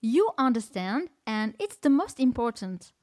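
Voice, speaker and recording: French lady podcast host, french accent, kinda high voice, dry close mic. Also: sing-song, a little slow, a little mellow, no echo. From France. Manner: encouraging, non-native phrasing with small slips. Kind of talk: casual interview in English.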